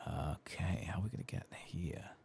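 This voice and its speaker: Male ASMR game host, very low breathy voice with heavy creak, casual muttered commentary. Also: normal speed, darkish tone. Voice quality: husky, breathy, often dropping to a whisper. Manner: casual, self-mocking muttering, with swearing.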